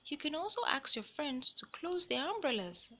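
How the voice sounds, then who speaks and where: An eager little boy detective from a children's storybook, voiced by a woman reader. The voice is bright and animated, earnest and kind, with a brave, reassuring way of speaking. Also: high voice, normal speed, slight background noise, voice pushed up, heavily acted.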